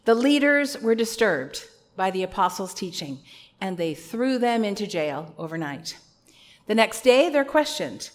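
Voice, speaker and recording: A woman with a loud voice preaching an earnest, conversational sermon with a few personal asides. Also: mid-range voice, very animated, a little slow.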